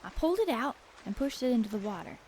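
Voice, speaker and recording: Plucky woman sidekick, highish voice, urgent and anxious, faint watery noise behind. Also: sing-song, quick pace, darkish tone, poor audio. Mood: tense, determined. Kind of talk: first-person audio drama narration.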